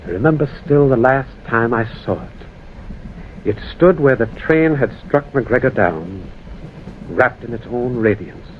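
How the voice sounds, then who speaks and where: A man's voice: mid-range voice, close to an everyday voice. A man acting a haunted, anguished witness narrating a ghostly tragedy in theatrical old-time radio horror style. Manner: theatrical, declamatory. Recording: tinny, narrow old radio recording, faint noise.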